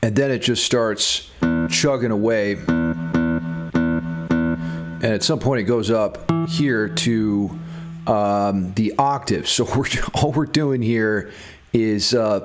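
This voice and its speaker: A man's voice: fairly low pitch, takes it slowly. A man giving a casual guitar theory tutorial, thinking aloud and enthusiastic about the material as he explains it. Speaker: guitar music theory teacher.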